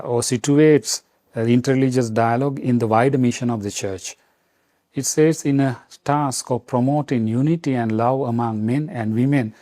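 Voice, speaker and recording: A middle-aged man from Sri Lanka, a Vatican official answering interview questions in a halting way with many fillers and restarts. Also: medium pitch, mellow, dry recording.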